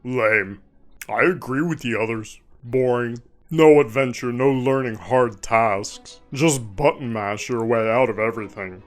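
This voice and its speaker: A man of average pitch gives a dramatic reading very slowly, in clipped and emphatic phrases. Music plays behind his voice. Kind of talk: dramatic reading of a user review. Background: background music.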